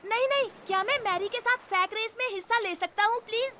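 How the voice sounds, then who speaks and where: A woman's voice: extremely high pitch, brisk, very noisy. A woman voicing an eager young boy rabbit, a confident, encouraging big brother in a children's cartoon. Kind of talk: Hindi-dubbed children's cartoon acting.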